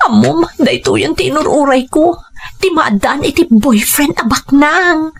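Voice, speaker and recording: A woman playing an ambitious young woman dreaming of a rich suitor in a Filipino audio drama, with breathy asides and hesitant confessions. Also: high-pitched, lively intonation, unhurried, exaggerated voice. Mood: dreamy, confiding.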